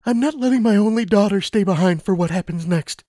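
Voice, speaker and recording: Pony mother, firm, tearful, higher voice, man reading her. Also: brisk, dark tone, no room sound, clean studio sound, close to an everyday voice.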